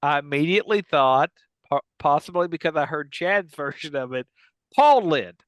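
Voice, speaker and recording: A man's voice: a little high, lively intonation, moderate pace, fairly bright tone, no room sound. A middle-aged man co-hosting a podcast, rambling enthusiastically through casual comedic chat. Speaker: podcast co-host.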